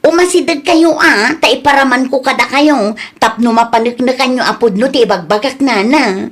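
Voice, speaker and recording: A woman's voice: sits high, normal speed. A woman playing a stressed, defensive young food vendor in a comedy drama, with an Ilocano accent and plaintive, insistent delivery. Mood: coaxing.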